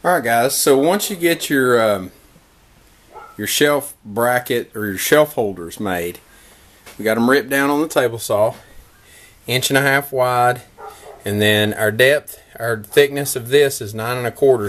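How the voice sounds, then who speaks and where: A man in his thirties casually narrating a woodworking how-to in his workshop, relaxed and explanatory, with frequent fillers and restarts. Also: mid-range voice, a little slow. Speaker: woodworking vlogger. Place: workshop shed.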